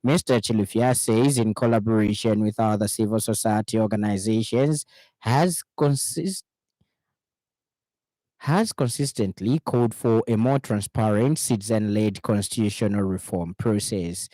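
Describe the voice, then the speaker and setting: A male radio news presenter with a mellow, lowish voice reads a scripted bulletin. His delivery is formal and measured, with the odd stumble, and the sound is close and dry. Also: no echo, low-quality recording.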